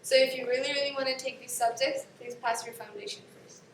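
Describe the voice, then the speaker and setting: A woman's voice: high voice, steady tone, fast, a little hiss or hum. A young woman from Pakistan, a native Urdu speaker, presenting course registration to students in a classroom, chatty and often correcting herself. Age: young adult. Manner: chatty, explains with examples, self-corrects often. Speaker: student guide. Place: classroom in front of a screen. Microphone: far camera. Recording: echoey classroom from far off.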